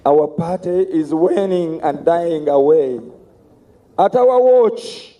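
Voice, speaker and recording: A hoarse middle-aged man, giving an emphatic campaign speech to a party conference. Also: a little high, highs cut off. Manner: slow, emphatic orator. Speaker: candidate for party office.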